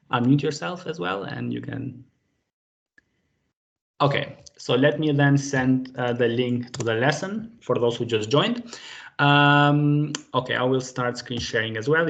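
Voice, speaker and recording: Gravelly-voiced man teaching on a video call. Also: medium pitch. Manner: patient, friendly, many fillers. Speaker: online language teacher. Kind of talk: live online group lesson. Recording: dull lo-fi call audio.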